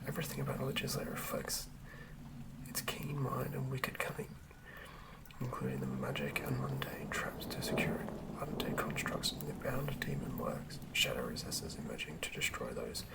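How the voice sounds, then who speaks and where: A man reading fantasy lore as an ASMR narrator in a hushed, breathy, gravelly whisper, measured and with pauses. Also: medium pitch, very animated, rough recording. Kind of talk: ASMR whispered read-through of a fantasy monster manual.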